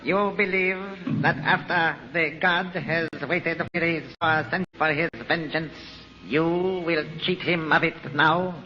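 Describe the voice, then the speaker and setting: Slow, menacing jungle cult priest. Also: male voice, on the high side, fairly even intonation, sharp tone, a little hiss or hum. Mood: haughty menace. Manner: slow, solemn, ceremonial, pompous phrasing. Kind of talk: old-time radio melodrama acting. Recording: muffled old radio recording, long reverb, music.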